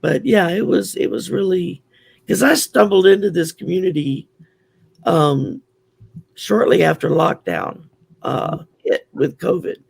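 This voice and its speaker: A man with a US Southern accent talks in a relaxed, chatty way, sharing stories as a guest. His voice is growly and heavily gravelly, pitched on the higher side for a man, with a husky, raspy edge. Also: a little slow, no echo. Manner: chatty, open, storytelling. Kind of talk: casual chat.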